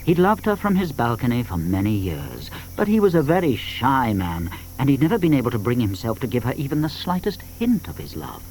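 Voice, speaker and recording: A man narrating a dramatised children's story with expressive, measured phrasing. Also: medium pitch, slight background noise, rough recording.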